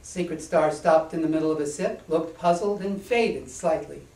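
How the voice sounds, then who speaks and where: A man tells a whimsical children's story on a small stage. The delivery is deliberate and rhythmic, with the sound echoey and somewhat distant from the microphone. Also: on the high side, gravelly, a little mellow. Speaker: author reading own story. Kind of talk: children's storytelling to a live audience. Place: small indoor stage before an audience.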